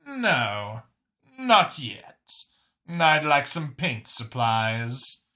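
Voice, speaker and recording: A man voicing an aloof alien art thief and forger in an audio story, speaking in a deliberate, haughty way. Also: medium pitch, big pitch swings, sharp tone, full character voice. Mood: calm, deliberate.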